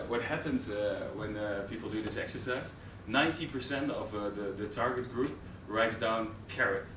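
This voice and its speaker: A young man with a Dutch accent giving a live presentation to a training group, hesitant, with many fillers and restarts. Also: mid-range voice. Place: training room with an audience. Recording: roomy, distant camera audio with noise.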